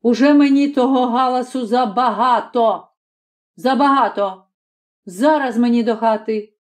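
A woman voicing a weary old peasant father who commands his family to be quiet in a firm, gruff way. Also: a little high, measured pace, natural voice. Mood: commanding.

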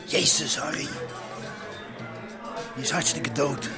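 A man's voice: fairly high pitch, steady tone, slow, music in the background. Terse, grim policeman. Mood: grim, uneasy. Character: weary, uneasy policeman mixed up with criminals. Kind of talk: naturalistic radio drama acting.

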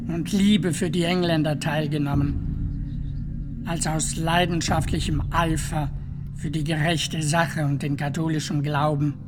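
An actor playing an earnest friar who testifies deliberately and formally in a radio play, with music underneath. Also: mid-range voice, background noise, close to an everyday voice.

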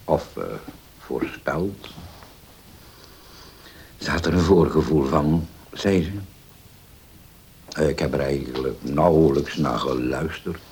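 An actor in a radio play as a grave, troubled widowed father, speaking haltingly and solemnly with long pauses. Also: rumbling low, a little mellow, husky, exaggerated voice. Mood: sorrowful, solemn. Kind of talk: Dutch radio play acting. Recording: hissy old radio play recording.

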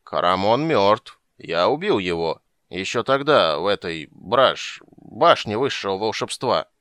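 A man playing a hulking drunk warrior in a storybook, slurring and dragging out his words with clumsy, solemn weight. Close and dry, with the pitch lurching around. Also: very animated, measured pace, fairly bright tone, no echo.

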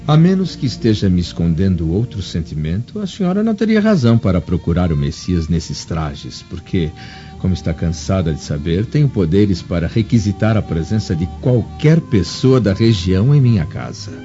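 A haughty Roman senator and husband in a radio drama. His delivery swings widely with imperious scorn, in a dark-toned voice on a slightly muffled recording. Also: low-pitched, sing-song, moderate pace. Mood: cold scorn. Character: proud, wrathful, domineering Roman senator and husband. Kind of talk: old-fashioned radio drama acting. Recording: dull lo-fi radio drama with music.

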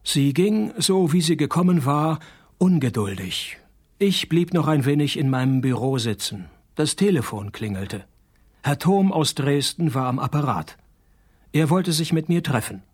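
An actor playing a wry, cynical private detective who narrates a parody noir radio play in a laconic, deadpan way. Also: male voice, fairly high pitch, a little slow, breathy edge. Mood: deadpan narrating.

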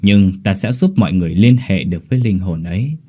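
A man's voice: deep voice, fairly quick, dark tone. A man voicing a solemn, commanding exorcist in a ghost story, making grave pronouncements.

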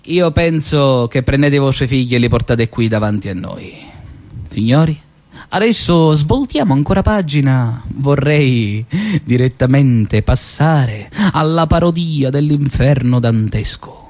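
An actor playing a sardonic young radio announcer with a Sicilian accent, mocking local bosses in a sing-song satirical monologue. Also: male voice, medium pitch, lively intonation, measured pace, a little mellow, natural voice. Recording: theatre stage recording.

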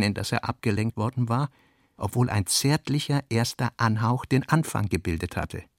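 A man narrating a literary radio drama in a measured, deliberate way. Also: mid-range voice, vocal fry, no echo, studio quality. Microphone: dry studio mic.

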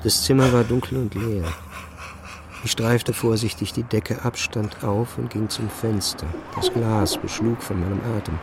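An actor playing a brooding physicist who recounts an uncanny night in measured, restrained first-person narration for an audio drama. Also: male voice, fairly low pitch, fairly even intonation, noisy background. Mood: sober, subdued.

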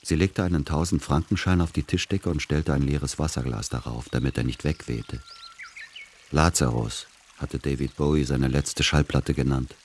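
A man playing a world-weary writer who narrates as a son in a literary radio play, measured and dry. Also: rumbling low, slight background noise. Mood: wry, measured.

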